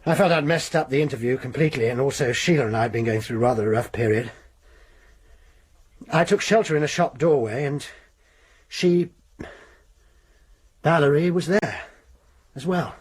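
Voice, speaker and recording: Male actor as ashamed husband with a husky, halting delivery; radio drama. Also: mid-range voice, natural voice. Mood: guilty, defensive. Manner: defensive, hesitant, halting confession. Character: guilt-ridden married schoolmaster man.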